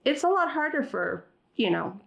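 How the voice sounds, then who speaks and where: A woman's voice: high voice, very animated, normal speed, creaky, dull audio. A middle-aged woman whose first language is Farsi, talking reflectively in an interview with many fillers and restarts. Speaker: guest. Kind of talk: conversational interview.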